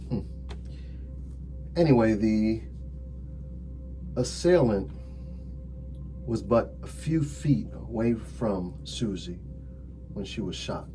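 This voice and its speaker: A man playing a calm, methodical, sardonic police detective in an ASMR roleplay, talking deliberately with drawn-out phrasing and wry asides. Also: on the low side, full character voice, poor audio. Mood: measured, dry. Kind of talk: slow ASMR roleplay acting. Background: music.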